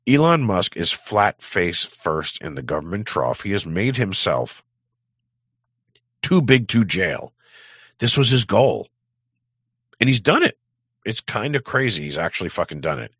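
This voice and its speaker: Male livestream host, low and creaky, profane rambling rant, sardonic delivery, close dry but muffled recording. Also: big pitch swings, a little slow, pauses often, fairly bright tone, no room sound. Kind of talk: rambling political rant to live chat.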